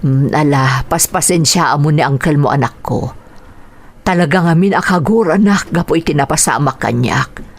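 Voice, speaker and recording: Gentle, low-pitched patient mother. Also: moderate pace. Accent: Ilocano. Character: gentle, patient, self-sacrificing mother of grown children. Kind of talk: radio drama acting.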